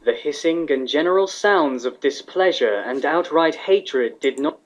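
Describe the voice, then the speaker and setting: A man's voice: fairly high pitch, animated, measured pace. A man reading fan fiction aloud as a scripted story narrator. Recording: dull, lo-fi sound.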